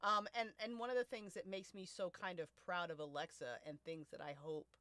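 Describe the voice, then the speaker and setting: Bright, forceful American woman, casually emphatic. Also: on the low side, steady tone, a little fast, no room sound. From United States. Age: late 20s to early 30s. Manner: emphatic, swearing, slangy. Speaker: podcast creator and host. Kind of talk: casual panel chat.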